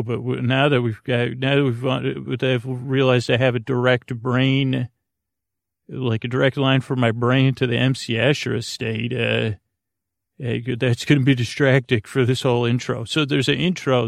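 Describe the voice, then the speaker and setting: A man with a creaky voice hosting a sleep podcast in a rambling, halting comedic monologue, droll and self-deprecating, drifting off on tangents. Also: medium pitch, level tone, no room sound, studio quality. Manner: meandering, halting, frequent self-interruption and tangents. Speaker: podcast host.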